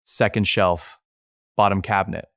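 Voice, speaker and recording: A man plays a young man teasing his friends with dry humour. The voice sits on the low side, in audiobook dialogue, recorded very dry. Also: steady tone, moderate pace, fairly bright tone, no echo.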